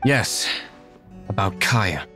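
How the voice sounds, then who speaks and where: A man's voice: lowish voice, expressive, bright, forceful tone, heavily acted. Reserved game nobleman, brooding, calculating, formal, varied intonation, music behind. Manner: formal, measured. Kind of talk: video game voice acting.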